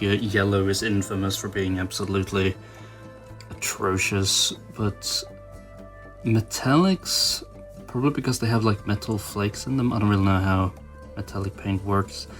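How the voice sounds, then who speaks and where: A man giving informal, self-deprecating hobby commentary, thinking aloud as he works through a craft project. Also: lowish voice. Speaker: toy customizer, channel host. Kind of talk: casual rambling hobby commentary while painting.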